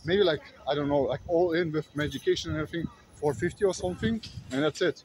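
A man's voice: a little high, quick pace, darkish tone, a little hiss or hum, poor audio. A man in his thirties with a German accent, born in Kazakhstan, rambling casually with many restarts while walking and filming himself outdoors at night. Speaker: vlogger. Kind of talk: casual walking vlog talk. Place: city streets at night, outdoors. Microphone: phone at arm's length.

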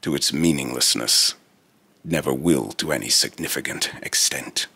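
A man voicing a solemn robotic entity, speaking in a portentous, deliberate way with a gravelly, raspy voice. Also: rumbling low, bright, forceful tone, dry recording, exaggerated voice. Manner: portentous, deliberate, repeats lines to retry them. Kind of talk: voice line recording.

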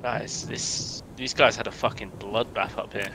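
A man's voice: on the low side, steady tone, a little slow. A man playing a tactical game, reporting to teammates in casual radio-style voice chat. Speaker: player in a tactical police game. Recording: noisy online game voice chat. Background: game ambience and noise.

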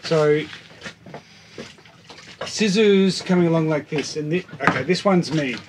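A man's voice: fairly high pitch, unhurried. A man in his thirties chatting casually on a boat deck, explaining a plan step by step in a hands-on way. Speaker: wakeboarder planning a stunt. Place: open deck of an anchored catamaran, around a table. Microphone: camera a few feet away. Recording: clear outdoor background noise, clicks.

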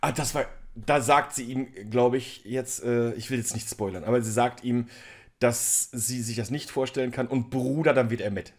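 A man's voice: medium pitch, moderate pace. A man casually commenting on video clips as a reaction co-host, amused and laughing often. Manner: amused, laughs often, keeps urging "pass auf".

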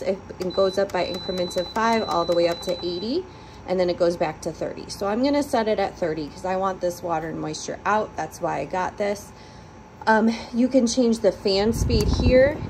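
A woman's voice: mid-range voice, darkish tone, slight background noise, poor audio. Chatty woman reviewing a gadget. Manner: chatty, upbeat, frequent ums. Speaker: product reviewer. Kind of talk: casual product review. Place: living room, indoors. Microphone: phone held over the device.

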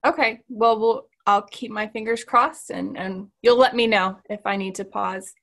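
A woman's voice: mid-range voice, fairly even intonation, normal speed, a bit bright. A woman in her thirties, an author speaking earnestly about her novel on a video call from a room with bookshelves. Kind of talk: author talk on a panel.

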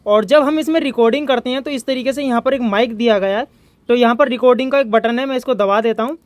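A man's voice: very high voice, quick pace, no room sound. Man, informal tutorial host, hands-on explaining, dry close recording.

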